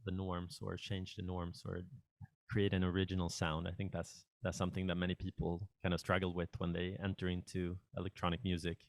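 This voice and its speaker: A man's voice: sits low, completely flat delivery, brisk, dry recording. A man hosting a studio podcast, asking long, searching questions and giving short acknowledgments. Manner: brief backchannels, wordy searching questions. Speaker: podcast host. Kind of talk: interview questions.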